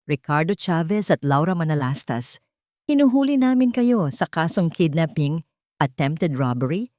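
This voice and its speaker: A woman's voice: low-pitched, very animated, normal speed, mellow, dry recording, studio quality. Woman acting a stern, formal arresting policeman. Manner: formal, declarative. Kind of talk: dramatic audio story dialogue.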